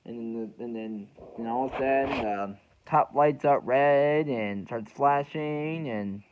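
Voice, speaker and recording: A young American man speaks in a mid-pitched voice through a dull, muffled home recording. He tells his story casually to viewers without a script. He often restarts and repeats phrases as he finds his way. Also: slight background noise. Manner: halting, repeats and rephrases phrases. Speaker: weather radio hobbyist vlogger.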